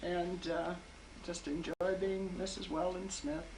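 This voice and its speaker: A woman's voice: lowish voice, fairly even intonation, a little slow, a little mellow, a little hiss or hum, rough recording. A woman of seventy, playful and laughing as she introduces herself. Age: 70s. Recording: crowd chatter behind.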